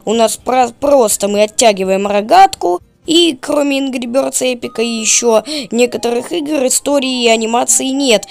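A woman's voice: sits high, vocal fry, a little mellow. A woman giving casual, chatty voice-over commentary in an informal, opinionated way. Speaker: video creator and narrator. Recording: music under the voice. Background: background music.

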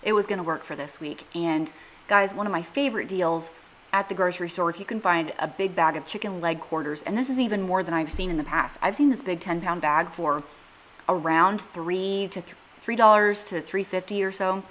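A woman's voice: on the low side, brisk, fairly bright tone. Earnest woman explaining a budget haul. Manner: earnest, practical, explains prices step by step. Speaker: budget cooking vlogger. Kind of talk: casual vlog talk to camera. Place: living room, sitting on a couch.

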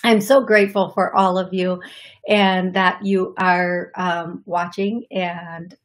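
A middle-aged woman with a raspy voice from allergies, hosting her psychic medium show in a chatty, apologetic way from her living room. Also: on the low side, flat delivery, slow pace. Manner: chatty, apologetic, laughs often, gestures with hands. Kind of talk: solo livestream talk to viewers. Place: living room, seated on leather couch.

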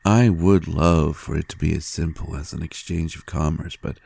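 Man playing a very deep, gravelly vampire lover. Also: fairly even intonation, exaggerated voice. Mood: reassuring, seductive. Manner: slow, hushed, halting with pauses. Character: tender, adoring male vampire lover, gently teasing. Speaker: audio roleplay performer. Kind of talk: intimate romantic audio roleplay.

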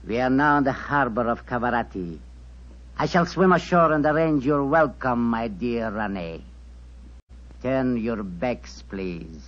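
A calm, scheming male villain makes polite threats at an unhurried pace, played by a man. The tone is bright but husky. The sound comes from a dull, lo-fi vintage radio recording. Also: medium pitch, close to an everyday voice. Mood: menacing. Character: cold scheming male villain, foreign servant. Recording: dull old radio broadcast.

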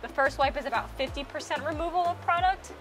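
A woman's voice: high-pitched, fast, a bit bright, soft background music. A young woman co-hosting a boating vlog, explaining each step of a job in an upbeat, step-by-step way inside a big boat storage warehouse. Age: young adult. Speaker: co-host of a boating channel. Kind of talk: casual how-to vlog narration.

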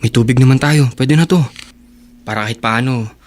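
A man's voice: mid-range voice, brisk, close to an everyday voice. A man playing a poor, earnest teenage boy devoted to his girlfriend in a radio drama. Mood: weary. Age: teens. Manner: earnest and reassuring. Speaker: young lover.

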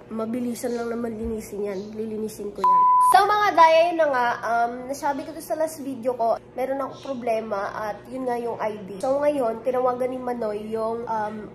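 A woman in her thirties with a Filipino accent, chatting casually and playfully to her vlog followers, recorded on a handheld phone at home. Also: fairly high pitch. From Philippines. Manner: chatty, informal, playful asides. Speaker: vlogger. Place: indoors at home, kitchen and living area. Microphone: phone at arm's length.

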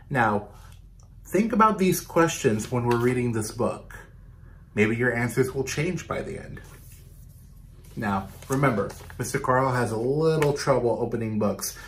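A man in his thirties reads a children's picture book aloud at a medium pitch. He speaks like a storytime host, stopping to put questions to young listeners and explain things. The recording is made indoors in a small bedroom. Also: animated, a little slow, a little hiss or hum.

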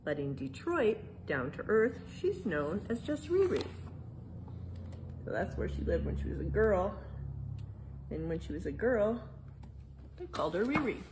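A middle-aged woman reading a picture book aloud to children in a museum hall, spelling out each page title and then explaining it gently. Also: mid-range voice, sing-song, unhurried, pauses often, background noise. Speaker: museum storytime reader. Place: indoor museum exhibit hall.

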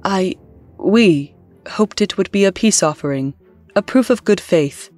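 A woman voicing a regal, scheming alien empress with a formal, measured manner, in an audio drama. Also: medium pitch, lively intonation, darkish tone, soft background music, close to an everyday voice.

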